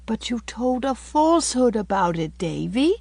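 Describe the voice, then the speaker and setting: A woman voicing a gentle young schoolteacher from a storybook, tenderly reproachful and morally earnest, with careful, correct speech. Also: medium pitch, big pitch swings, measured pace, no echo. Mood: sorrowful.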